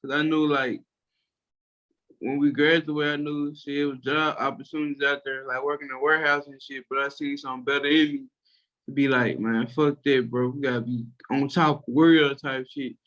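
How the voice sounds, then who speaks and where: A man's voice: medium pitch, level tone, moderate pace, a bit bright, dull audio. A young man with a Memphis-area Southern accent, a music producer talking casually and slangily about his start, rambling with lots of fillers. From Tennessee, USA. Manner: laid-back, slangy, rambling with many fillers. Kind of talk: casual interview chat.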